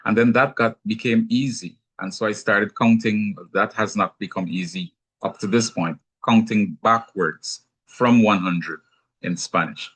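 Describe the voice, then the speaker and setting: Husky low-voiced Guyanese man teaching. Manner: measured, explanatory, gently humorous. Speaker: speaker on anger management. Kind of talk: teaching talk.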